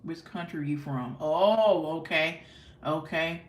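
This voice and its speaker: A fairly low-pitched American woman in a roomy, echoey recording, hosting a casual livestream. She chats effusively with viewers in folksy slang, often doubling up her thanks and affirmations. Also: slow. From United States. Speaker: livestream host.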